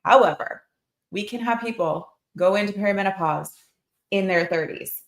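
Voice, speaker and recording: An American woman in her forties hosts a casual livestream Q&A as a nurse practitioner. Her medium-pitched voice is expressive and chatty, speaking directly to her audience. The sound is noticeably roomy and echoey. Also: normal speed. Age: 40s. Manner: chatty, informal, expressive, addressing viewers directly. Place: indoor clinic room under construction.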